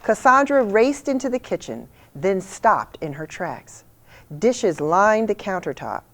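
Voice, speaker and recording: A woman reading a children's picture book aloud with expressive storytelling delivery. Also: mid-range voice, a little slow. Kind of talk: storybook read-aloud narration.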